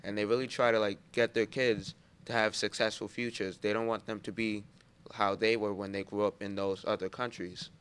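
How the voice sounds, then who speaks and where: A young man gives his opinion as a student in an informal discussion. He sounds earnest and casual, with a clean, resonant voice pitched a little low. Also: flat delivery, moderate pace, a bit bright, clean tone. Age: young adult.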